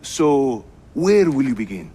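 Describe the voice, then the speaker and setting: A man playing a jovial, teasing Turkish assassin chief in a video game, with a Turkish accent and a playful, expressive delivery. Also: medium pitch, takes it slowly, husky, full character voice, low-quality recording. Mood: curious, brisk. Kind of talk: video game cutscene acting.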